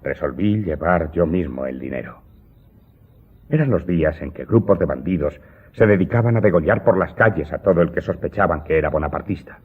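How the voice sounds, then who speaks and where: A man's voice: low voice, brisk, slightly rough. An actor playing an aggrieved servant earnestly telling his story to his master in a radio drama. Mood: earnest, grave recounting. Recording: muffled narrow-band audio drama recording. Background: loud music.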